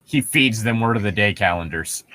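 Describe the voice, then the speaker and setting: A man's voice: on the low side, lively intonation, moderate pace, bright, forceful tone, no echo. A sarcastic young man joking and reading casually as co-host of a comedy story reading.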